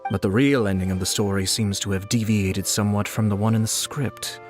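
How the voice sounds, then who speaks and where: A man's voice: on the low side, steady tone, a little fast, soft background music, natural voice. An actor voicing a polite, tactful, reserved man with careful, formal phrasing in a game cutscene. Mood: tentative, respectful. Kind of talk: video game cutscene acting.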